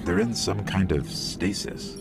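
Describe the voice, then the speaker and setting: A man's voice: low voice, a little slow, vocal fry, loud music behind the voice. A man voicing a small robot companion in a science fiction video game, wry and anxious but earnest, with a dry, understated urgency. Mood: uneasy, awed. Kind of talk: video game character acting.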